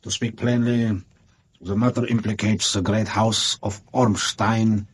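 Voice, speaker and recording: A man's voice: lowish voice, flat delivery, unhurried, husky. An actor playing a proud Bohemian king in a radio drama, with a pompous, formal manner. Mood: grave, formal.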